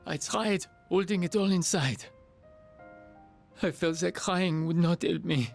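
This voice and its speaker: A man's voice: sits high, measured pace, creaky, soft background music. A man voicing an earnest, sensitive foreign student in a game, with hesitant, formal, emotional delivery. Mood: sad, hesitant. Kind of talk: game voice acting.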